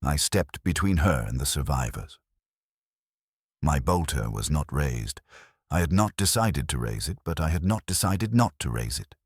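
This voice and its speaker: Very deep-voiced man narrating dark fiction. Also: big pitch swings, husky, dry recording, studio quality. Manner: solemn, clipped, measured delivery. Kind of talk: scripted dramatic first-person fiction narration.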